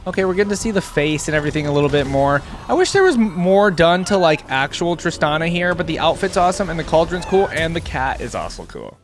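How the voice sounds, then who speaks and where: A man's voice: a little high, expressive, quick pace, hardly any pauses, faint music behind. A young man giving casual, laid-back reaction commentary, thinking aloud as host.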